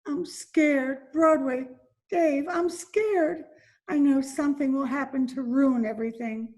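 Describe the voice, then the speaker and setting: A woman playing a timid, gentle older lady who speaks politely and hesitantly, in old-time radio drama style. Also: high-pitched, a little slow. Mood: frightened. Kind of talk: 1940s radio drama acting.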